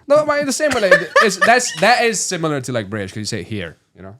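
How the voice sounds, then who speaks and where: A man from Transylvania whose first language is Hungarian, chatting as a comedian guest on a podcast, animated and quick to laugh. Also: sits high, heavy background noise. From Transylvania, Romania. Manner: animated, laughs often, rambling. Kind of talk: casual podcast chat.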